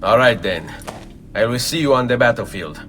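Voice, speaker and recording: An actor playing a resolute, courteous young Renaissance assassin with an Italian accent in a video game. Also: male voice, mid-range voice, lively intonation, a bit bright, slight background noise, lightly acted. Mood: businesslike, determined. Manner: measured, courteous. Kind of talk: video game cutscene acting.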